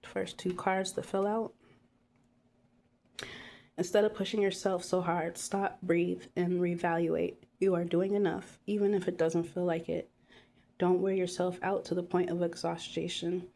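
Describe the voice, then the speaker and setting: A woman with a stuffy nose from a cold, giving a calm, unhurried tarot card reading to her viewers with many pauses. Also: lowish voice, creaky.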